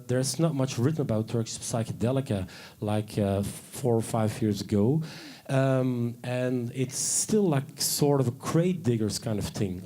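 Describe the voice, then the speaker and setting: A man with a very dark, mellow voice introduces a panel in front of a live audience. He rambles with enthusiasm and stacks up fillers. He often stops to correct himself, at an everyday pitch. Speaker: panel moderator. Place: talk event in front of an audience.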